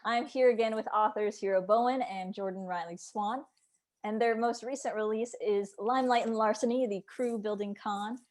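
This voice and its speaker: A woman hosting an interview in a conversational style, asking questions as an editor who talks with authors. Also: mid-range voice. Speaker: host, developmental editor.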